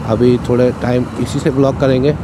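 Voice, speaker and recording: A man's voice: mid-range voice, level tone, a little fast. Chatty man talking while riding a motorbike. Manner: chatty, explanatory, repeats "ठीक है". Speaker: moto vlogger. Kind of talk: casual vlog talk while riding. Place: riding a motorcycle on city roads outdoors. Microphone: cheap wired external mic on rider. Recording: traffic noise from riding outdoors.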